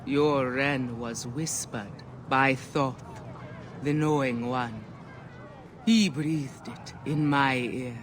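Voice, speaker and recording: A man's voice: medium pitch, fairly even intonation, drawn-out words. A man playing an ominous, mystical ancient seer in a video game, speaking in portentous, incantatory phrases. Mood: secretive. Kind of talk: dramatic video game acting. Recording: heavy background noise, outdoor ambience.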